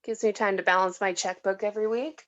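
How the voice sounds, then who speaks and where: A woman's voice: medium pitch, fairly even intonation, fast, a bit bright, dull audio, natural voice. A woman acting a tipsy, disillusioned woman who rambles and giggles in a read-through of a film script. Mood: tipsy, playful. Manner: rambling, slightly slurred, giggly.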